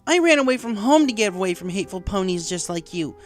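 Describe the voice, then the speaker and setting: A man's voice: high voice, lively intonation, quick pace, faint music behind, pitched above the performer's own voice. A man voicing a proud, defiant young female pony in a storybook reading. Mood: bitter, defiant. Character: proud, defiant, brash young adult mare.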